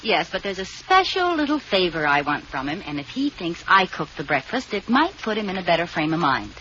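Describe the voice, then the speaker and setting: A woman's voice: fairly low pitch, big pitch swings, brisk, sharp tone. An actress playing a playful, scheming young wife in a 1940s radio sitcom, coaxing her husband. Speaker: lead actress. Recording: muffled old radio broadcast with hiss.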